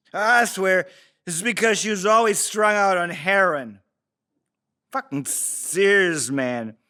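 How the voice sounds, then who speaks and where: Man playing drunk deadbeat father, high-pitched, slow and halting, crude, self-excusing, audio drama table read. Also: pushed and bright, full character voice. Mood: defensive, bitter. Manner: slurred, halting drunken delivery with rambling asides.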